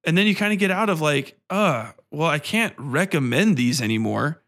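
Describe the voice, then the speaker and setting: Male podcast host, slightly high voice, casual ranting delivery, profane and exasperated. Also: lively intonation, moderate pace, a bit bright. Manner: profane, exasperated, blunt complaining.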